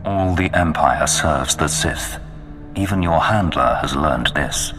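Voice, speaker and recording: An actor playing a cold, commanding Sith dark lord in a video game, speaking in a slow, ominous, imperious manner. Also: male voice, extremely low pitch, over music.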